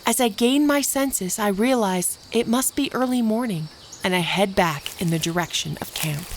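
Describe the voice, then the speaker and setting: A woman playing a wounded preteen camp girl, narrating a horror story in the first person in a deliberate, detached, dramatic way. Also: mid-range voice, a little hiss or hum, natural voice. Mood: confused, pained. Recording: music behind the voice.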